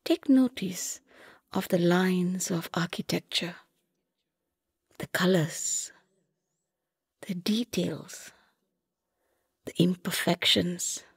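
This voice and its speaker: A woman narrating a scripted guided meditation softly and gently, often close to a whisper, with long unhurried pauses. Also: lowish voice, no room sound. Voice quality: soft, breathy, often near whisper.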